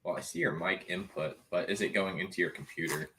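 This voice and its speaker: A man's voice: sits low, brisk, sharp tone, recorded from a distance, a little hiss or hum. A man co-hosting a casual livestream, chiming in with dry, offhand remarks. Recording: roomy sound from off-mic.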